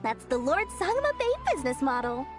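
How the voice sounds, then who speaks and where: High, breezy merchant businesswoman. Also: big pitch swings, a little fast. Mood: brisk, self-assured. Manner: breezy, self-assured, casually evasive. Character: shrewd, playful young businesswoman and wealthy merchant. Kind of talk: video game story dialogue acting. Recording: music behind the voice.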